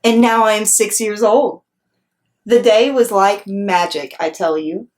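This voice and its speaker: A woman voicing a chatty, excitable six-year-old girl telling her storybook tale in an emphatic, blunt, childlike way. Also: mid-range voice, measured pace, natural voice. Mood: excited, proud. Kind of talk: children's storybook read-aloud.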